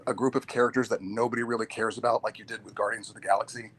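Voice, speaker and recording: A man on a casual podcast chat, speaking in a measured way as he builds an argument. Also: fairly low pitch, level tone, a bit bright, breathy edge. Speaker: podcast co-host or guest.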